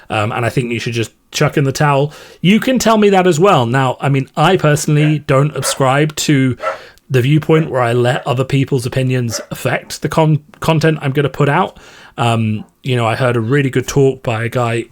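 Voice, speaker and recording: A man hosts his own podcast, speaking off the cuff to regular listeners. His voice sits at a middling pitch, and his delivery is casual and rambling, with a self-deprecating, conversational manner. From Ireland, born in London and raised in Limerick from age eight. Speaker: podcast host and content creator. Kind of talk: casual unscripted talk to subscribers.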